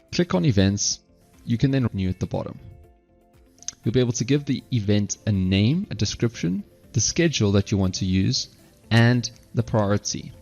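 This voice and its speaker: A man narrating an instructional software screencast step by step, halting at times and correcting himself. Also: fairly low pitch, creaky, slightly rough, highs cut off. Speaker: software tutorial presenter.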